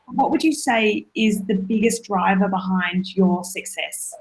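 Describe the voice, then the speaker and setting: A woman hosting a webinar interview, encouraging and quick with asides. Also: medium pitch, rough recording. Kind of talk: casual interview chat.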